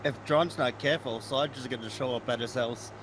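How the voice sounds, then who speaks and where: A man's voice: mid-range voice, fairly even intonation, fairly quick, background noise. A man with a Boston accent, ribbing his friend with casual wisecracks over voice chat during a game. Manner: teasing, wisecracking.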